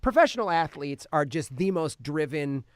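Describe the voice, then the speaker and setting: A man with a slightly high voice hosts a casual film podcast in a dry studio. He retells things in an animated, sweary way and slips into acted-out quotes. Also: normal speed, no room sound. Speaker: podcast host reviewing films. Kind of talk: casual film review chat.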